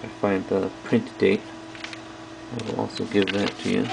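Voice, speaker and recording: A man with a very low, gravelly voice hosts a casual hands-on review. His delivery is flat and halting, broken into short pieces. There is faint noise behind him. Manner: halting, piecemeal delivery while handling items. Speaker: video host reviewing old electronics. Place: small indoor workroom at a bench.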